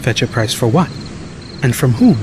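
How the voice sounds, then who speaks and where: A man's voice: mid-range voice, sing-song, normal speed, noisy background. A man reading a first-person story as an audiobook narrator.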